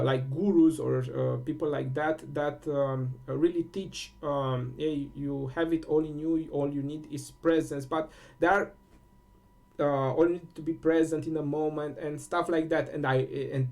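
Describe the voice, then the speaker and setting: Male host, Romanian accent, slightly high voice with gravelly fry, hesitant delivery full of fillers. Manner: halting, many fillers and restarts. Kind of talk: casual spiritual talk.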